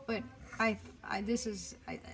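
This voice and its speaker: A woman's voice: mid-range voice, a little slow, gravelly, a bit bright. A woman from Miami co-hosting a podcast, chatting casually, swearing freely and drifting into asides. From Miami, Florida. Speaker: podcast co-host. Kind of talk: casual chat.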